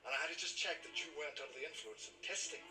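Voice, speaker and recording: An actor as a high-voiced, self-assured doctor. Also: male voice, rapid-fire, natural voice. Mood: brisk, pleased. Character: brilliant, self-assured, lecturing time-travelling doctor. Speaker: actor in a TV sci-fi drama. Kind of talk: television drama acting. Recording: distant TV playback with music behind.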